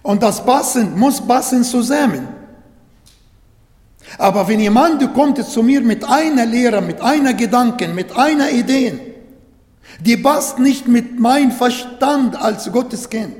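High-voiced guy preaching in german, not his first language, slow and repetitive, lots of echo. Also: expressive, darkish tone. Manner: halting non-native German, repeats phrases, slow and emphatic. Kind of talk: sermon. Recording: echoey hall with long reverb.

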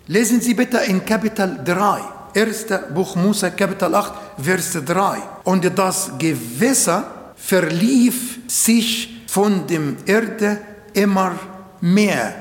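A man whose first language is Arabic, preaching a sermon slowly and deliberately in German that is not his native tongue. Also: sits high. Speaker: pastor. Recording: echoey hall.

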